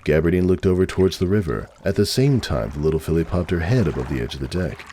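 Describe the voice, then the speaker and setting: A man narrating a story as a dramatic scripted reading, measured and expressive. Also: sits low, slight background noise.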